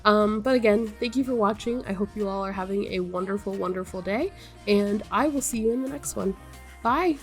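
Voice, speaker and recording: A woman's voice: mid-range voice, fairly quick, faint music behind. A young woman with a nasal voice, sick and with her voice wearing out, chatting to her viewers in a rambling way, full of ums and likes. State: stuffy-nosed and sick, voice wearing out. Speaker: gaming YouTuber. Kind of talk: casual chat to viewers.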